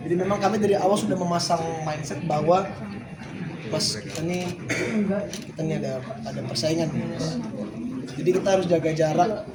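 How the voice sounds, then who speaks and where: A young male actor answering press questions in a relaxed way, hesitating with many fillers. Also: a little high, fairly quick, long pauses. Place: busy cinema lobby. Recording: echoey, distant, crowd noise. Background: crowd chatter.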